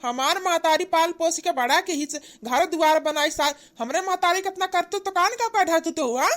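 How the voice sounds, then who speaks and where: A woman's voice: very high voice, normal speed, a bit bright. A woman voicing an angry, callous village husband in a cartoon comedy, hectoring in an Awadhi accent. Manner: hectoring, repetitive scolding.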